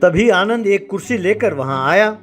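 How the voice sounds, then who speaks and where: A man's voice: sits high, expressive, moderate pace. Male narrator for scripted children's moral tales, light music bed.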